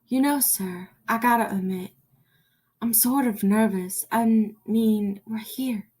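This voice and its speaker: Naive, jittery junior cop, played by a teenage girl, higher voice, very unhurried delivery. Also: darkish tone, slightly rough. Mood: nervous, eager. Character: nervous, eager, naive rookie police partner. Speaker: student actor. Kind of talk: stage radio play acting.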